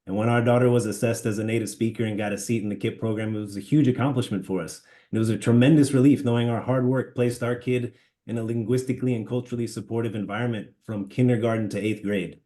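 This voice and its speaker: Fast-talking man with a mellow voice. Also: medium pitch, steady tone. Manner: earnest, read from a prepared statement. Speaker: parent and school staff member. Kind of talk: prepared public comment.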